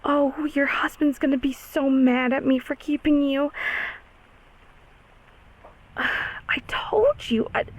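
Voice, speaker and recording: A woman playing a gentle, affectionate closet monster in an intimate comfort roleplay, speaking softly and hesitantly in a whispery, reassuring way. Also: high voice, fairly even intonation, low-quality recording. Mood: flustered, chatty. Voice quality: soft, breathy, whispery. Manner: slow, hesitant, tenderly reassuring.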